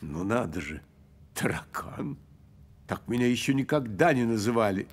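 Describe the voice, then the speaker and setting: Pompous, swooping cartoon cricket gentleman. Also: on the low side, animated, normal speed, husky. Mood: offended. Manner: pompous, theatrical, old-fashioned formal speech. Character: fussy, dignified male cricket, keeper of music. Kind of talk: cartoon character acting.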